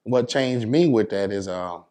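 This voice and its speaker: Low-voiced, laid-back American man. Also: measured pace, dark tone. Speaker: DJ, interview guest. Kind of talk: casual interview chat.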